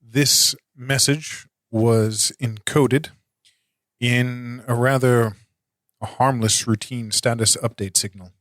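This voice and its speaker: Man playing a deliberate, unflappable intelligence officer. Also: medium pitch, fairly even intonation, fairly bright tone, no room sound, studio quality. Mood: methodical. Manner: halting, measured, formal. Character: calm, deliberate male military intelligence officer, quietly insistent.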